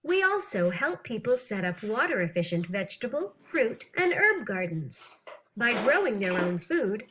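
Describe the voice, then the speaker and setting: A woman reading an interview text aloud for children in an animated, clearly phrased, teacherly way. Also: fairly high pitch, normal speed, background noise. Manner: animated, clearly phrased, acting out questions and answers.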